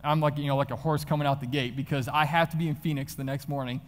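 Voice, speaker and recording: A fairly high-pitched male voice with an American accent rings out with long hall reverb. He is a motivational speaker sharing personal anecdotes from the stage. His delivery is conversational and animated, like live storytelling to an audience. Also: fast.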